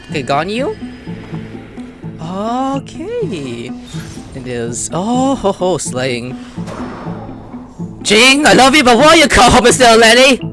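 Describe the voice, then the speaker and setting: An excitable gaming YouTuber giving casual, slangy commentary, jumping between muttered asides and squeals while playfully talking to game characters. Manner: exclamatory, swings from muttered asides to high squeals.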